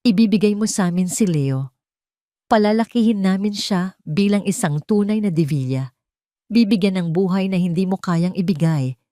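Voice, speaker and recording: A woman voicing a cold, imperious elderly rich matriarch who threatens calmly in an audio drama. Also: medium pitch, moderate pace, dry recording, studio quality, lightly acted. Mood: commanding, bargaining. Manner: commanding, unflinching.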